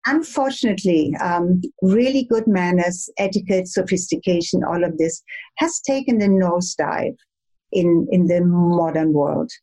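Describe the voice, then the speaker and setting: A woman with a light German accent and a slightly low voice speaks as an interview guest. She is measured and poised, and she pauses on fillers as she goes. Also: mellow. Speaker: interview guest, etiquette expert. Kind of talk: conversational interview.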